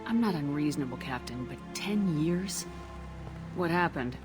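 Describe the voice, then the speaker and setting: Stern commander over music. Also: female voice, sits low, lively intonation, normal speed. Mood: firm. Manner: firm, probing, controlled. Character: stern, commanding female military commander in space. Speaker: military commander. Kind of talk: dramatic video game voice acting. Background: cinematic background music.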